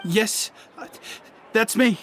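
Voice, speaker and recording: A woman's voice: a little high, takes it slowly, over music. A woman playing a meek, nervous underling in a video game, speaking with hesitant stammers.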